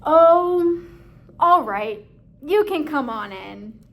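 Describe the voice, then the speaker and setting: A woman's voice: high voice, lively intonation, drawn-out words, full character voice. A woman voicing an exasperated, put-upon male alligator from a children's picture book, grumbling with emphasis. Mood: grudging.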